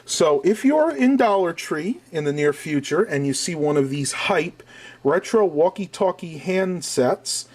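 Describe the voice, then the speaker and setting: A man's voice: on the high side, expressive, measured pace, darkish tone. Man giving a casual gadget demonstration. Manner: methodical, step-by-step explaining. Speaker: product reviewer.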